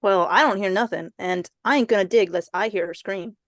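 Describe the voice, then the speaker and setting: A woman playing an eager, skeptical little schoolboy with slangy, excitable talk in an old-time radio suspense drama. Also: medium pitch, fairly quick, no room sound, natural voice. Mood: doubtful, curious.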